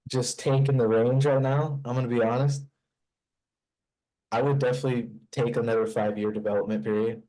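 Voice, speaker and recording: A man with a mellow, dark voice at a middle pitch, speaking on a video call from home. He talks bluntly and swears casually. He slips in jokes with a straight delivery. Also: fairly even intonation, brisk, low-quality recording. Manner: blunt, joking, profane. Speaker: podcast co-host. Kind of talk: casual chat.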